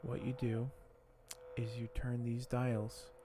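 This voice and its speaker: A soft-spoken man hosting an ASMR unboxing, talking in a hushed, halting way that often nears a whisper. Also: mid-range voice, completely flat delivery, background noise. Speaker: ASMR video host.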